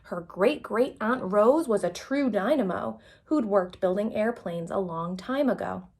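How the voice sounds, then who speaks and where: A woman's voice: mid-range voice, expressive, measured pace, a little mellow. A woman reading a children's picture book aloud as a storyteller, expressively and with dramatic emphasis.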